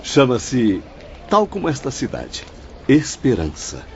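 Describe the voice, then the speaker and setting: A man's voice: medium pitch, huge pitch swings, slow pace, creaky, heavily acted. A man acting a courteous, grave spirit guide who admonishes formally in an audio drama. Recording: dull lo-fi audio, faint music.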